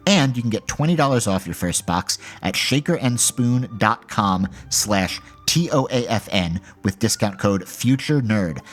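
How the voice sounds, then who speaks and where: A man hosting a podcast, casually reading a sponsor message and episode announcements. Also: fairly low pitch. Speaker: podcast host.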